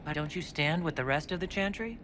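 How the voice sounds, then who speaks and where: A woman's voice: low-pitched, animated, a little fast, a bit bright, a little hiss or hum. An actress playing a guarded female hero in a fantasy game, asking direct, blunt questions. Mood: questioning.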